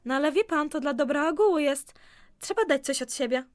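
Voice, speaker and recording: A woman's voice: sits high, a little fast, no echo, clean studio sound, close to an everyday voice. A woman playing an anxious, pleading and effusive office clerk in an audio drama. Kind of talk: amateur audio drama acting.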